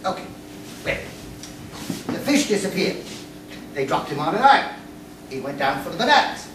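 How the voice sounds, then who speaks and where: A woman's voice: fairly low pitch, sing-song, normal speed. A woman playing a naive, muddled, earnest boyish male castaway in a staged comic radio play. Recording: echoey room, distant mic, faint noise.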